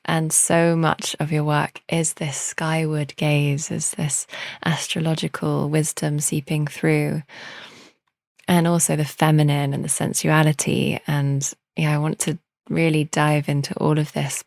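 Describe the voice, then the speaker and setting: A woman hosting a podcast interview, asking questions in a slow, gentle, affirming way. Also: sits low, vocal fry, dry recording, studio quality. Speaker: podcast host.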